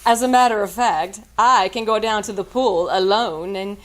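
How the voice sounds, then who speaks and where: A woman's voice: medium pitch, moderate pace, fairly bright tone, natural voice, poor audio. A woman acting a sly, breezy society friend in a radio drama, with a teasing, knowing manner.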